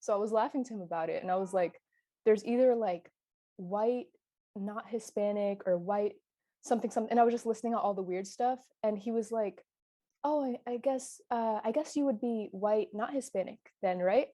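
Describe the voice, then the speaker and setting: A woman's voice: medium pitch, normal speed, no echo. Young woman telling stories animatedly, dry sound. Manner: animated storytelling, laughs while recounting. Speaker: panel guest. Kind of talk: casual panel discussion.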